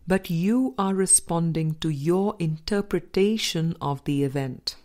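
A woman reading a spiritual audiobook as scripted narration, in measured, deliberate phrases with pauses. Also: on the low side, a little mellow. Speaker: audiobook reader.

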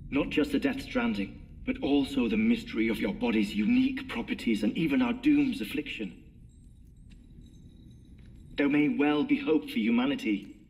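An actor playing a courteous, eccentric scientist in a video game, speaking in formal, precise, wordy sentences. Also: male voice, mid-range voice, animated, moderate pace, long pauses, darkish tone, reverberant, close to an everyday voice, rough recording. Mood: curious, solemn. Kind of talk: video game briefing dialogue.

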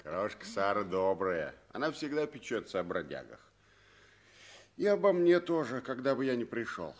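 An actor playing a jolly, good-natured tramp in a radio play, with a folksy, bantering delivery. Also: male voice, lowish voice, big pitch swings, moderate pace. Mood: warm banter. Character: hearty, good-natured adult male tramp, jolly and teasing. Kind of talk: 1960s Soviet radio play acting.

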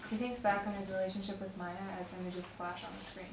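A woman's voice: mid-range voice, little pitch movement, quick pace. A young woman reading a script aloud and chatting casually at a table read. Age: young adult. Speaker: cast member reading stage directions at a table read. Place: home dining room. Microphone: far camera. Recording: distant mic, echoey room, loud noise.

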